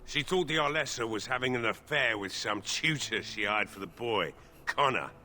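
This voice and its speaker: Gruff, forceful old drunken blacksmith. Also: male voice, mid-range voice, normal speed. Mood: regretful. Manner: gruff, weary, resigned. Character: despairing, drunken old male village blacksmith, bitter and stubborn. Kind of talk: video game voice acting. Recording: faint background noise.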